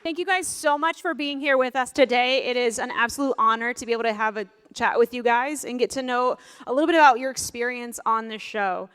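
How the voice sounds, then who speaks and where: Woman, panel moderator, high voice, upbeat announcing, live fan event. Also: fairly quick, hardly any pauses, fairly bright tone, clean tone.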